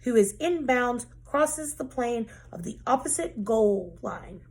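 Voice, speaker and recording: Give me a woman playing a smug little schoolgirl from a storybook, prim and superior, with a rather high voice and a gravelly edge. She lectures as if she knows everything. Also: expressive, takes it slowly, darkish tone, exaggerated voice. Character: bossy know-it-all eight-year-old girl.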